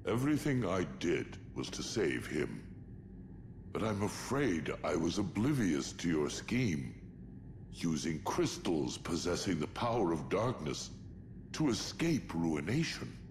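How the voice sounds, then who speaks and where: An actor playing a solemn, brooding sorcerer and older brother in a video game, speaking formally and gravely over music. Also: male voice, low voice, big pitch swings, measured pace, slight background noise, heavily acted. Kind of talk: theatrical video game cutscene acting.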